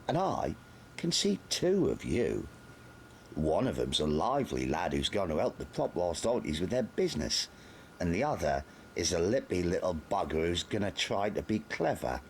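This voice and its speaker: A man's voice: low-pitched, a little hiss or hum, heavily acted. A man voicing a sly, bullying sergeant who threatens in a mock-friendly way in an audiobook. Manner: smooth, menacing, mock-friendly. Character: menacing, grinning male sergeant, a sly bully. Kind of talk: audiobook character voice.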